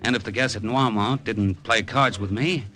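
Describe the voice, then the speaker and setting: An actor playing a wry, easygoing middle-aged American gambler, speaking in a laconic 1950s radio drama style. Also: male voice, lowish voice, moderate pace, bright, forceful tone. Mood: wry narration. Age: 40s. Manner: laconic, breezy, conversational. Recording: vintage radio drama recording.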